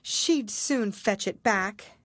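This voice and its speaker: A woman's voice: mid-range voice, slow, a bit bright, no room sound, clean studio sound, pitched above the performer's own voice. A woman voicing a polite, curious young girl in a storybook, earnest and eager to please.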